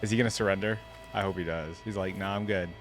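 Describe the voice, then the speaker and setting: A man's voice: sits low, fairly even intonation, fast, soft background music. A man giving casual reaction commentary as the host of a video.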